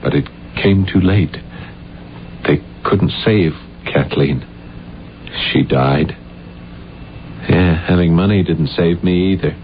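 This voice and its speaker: An actor playing a bitter, beaten playwright of forty in a 1970s radio drama, confessing in a brooding, intense way. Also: male voice, low-pitched, slow, pauses often, slight background noise. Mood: bitter, defeated. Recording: dull 1970s radio recording.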